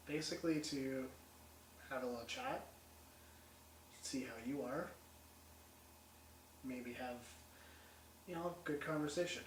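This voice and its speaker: A man's voice: medium pitch, slight background noise. A man investigating a haunted theatre, chatting in a friendly, lightly teasing way to a spirit he hopes is present. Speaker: paranormal investigator. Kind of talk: talking to a spirit. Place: large old theatre, indoors. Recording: echoey room from a distance.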